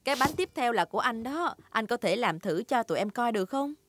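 A woman voicing a kind, patient, gently teasing mother pig in a children's cartoon dub, with an instructive manner. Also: a little high, animated, a little fast, a little hiss or hum.

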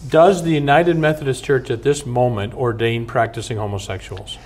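A man's voice: mid-range voice, steady tone. Older clergyman host, blunt questions. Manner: blunt, summarizing questions, quick interjections. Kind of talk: probing interview questions. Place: studio set with bookshelf backdrop, seated at table.

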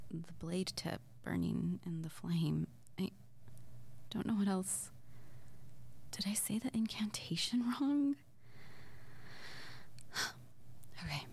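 A woman playing an excitable, playful young witch in an intimate ASMR audio roleplay, speaking softly and breathily, often near a whisper, in a halting murmur. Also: on the low side, creaky, slightly rough, full character voice. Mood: puzzled, discouraged. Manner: halting, giggly, murmuring to the listener.

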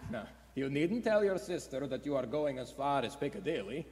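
An actor playing a nervous, shifty middle-aged husband, hesitant and stammering, in a radio play. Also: male voice, medium pitch, very animated, moderate pace, darkish tone, lightly acted. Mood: anxious, coaxing. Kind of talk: stage radio play acting. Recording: echoey theatre hall.

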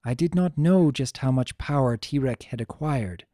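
A narrator voicing a regal, mature female pony ruler, calm, formal and firm. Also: mid-range voice, normal speed, no room sound, studio quality, natural voice. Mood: firm, grave.

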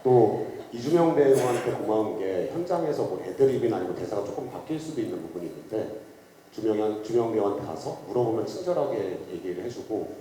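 A man's voice: on the low side, expressive, a little fast, warm tone. A man, an actor, speaking in a friendly way at a press event. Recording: distant mic in a reverberant hall.

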